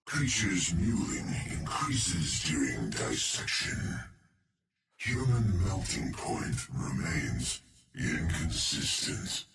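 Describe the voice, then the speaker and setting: A man's voice: sing-song, fairly bright tone, full character voice. An actor voicing a male alien eyeball creature, a cold, curious scientist who speaks in detached, ominous pronouncements. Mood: coldly clinical. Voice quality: deep, slow, gravelly and clinical. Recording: roomy game audio with crowd noise behind.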